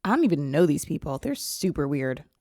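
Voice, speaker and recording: A blunt, awkward computerized girl from a sci-fi radio play. She speaks in a low, mellow voice that still moves around a lot in pitch. Her lines come out slow and plain. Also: sing-song, dry recording, clean studio sound, full character voice. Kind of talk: audio drama acting.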